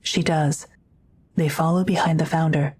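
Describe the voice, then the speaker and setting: A woman's voice: sits low, steady tone, moderate pace, a little mellow, a little hiss or hum. A woman reading scripted narration for an audio drama, describing the action as narrator.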